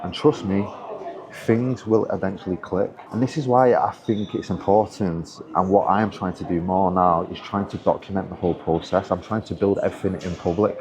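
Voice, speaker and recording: Low-voiced man giving earnest coaching talk. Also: steady tone, brisk, a crowd in the background. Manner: earnest, encouraging, with frequent restarts. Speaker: online business coach. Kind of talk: casual motivational talk to camera.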